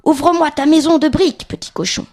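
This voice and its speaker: A woman's voice: sits high, fairly quick, a little mellow, voice pushed up. A woman voicing a big bad male wolf in a children's storybook, bullying and hungry, with emphatic, chanting threats. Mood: menacing.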